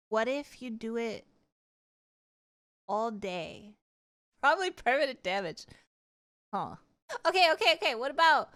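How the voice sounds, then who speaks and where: A woman chatting casually on a livestream, telling stories in an animated, rambling, giggly way. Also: fairly high pitch, smooth. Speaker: streamer. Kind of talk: casual livestream chat and storytelling.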